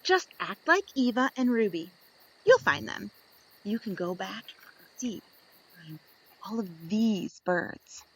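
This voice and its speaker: A woman's voice: medium pitch, expressive, slightly rough, poor audio. Woman reading aloud to children. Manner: deliberate, with dramatic pauses. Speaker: children's story reader. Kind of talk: expressive read-aloud for young children.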